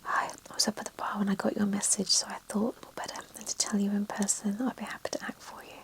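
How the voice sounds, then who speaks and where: A polite, courteous man who handles legal matters, whispered by a woman storyteller. The voice is a breathy whisper at an ordinary middle pitch. He speaks in a formal, gracious way. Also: flat delivery, quick pace, close to an everyday voice. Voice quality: soft unvoiced whisper.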